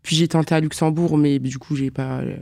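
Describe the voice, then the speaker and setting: A woman's voice: deep voice, fairly even intonation, a little fast, gravelly, dark tone, no room sound, studio quality. A young woman student answering interview questions in a casual, hesitant way, full of fillers and restarts. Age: young adult.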